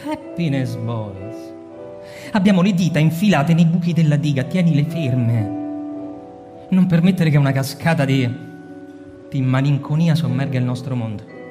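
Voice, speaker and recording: An actor playing a cold, persuasive male fire captain lecturing a subordinate in a radio drama, smooth and insistent, sometimes hushed. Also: mid-range voice, moderate pace, long pauses, long reverb tail, music in the background, natural voice.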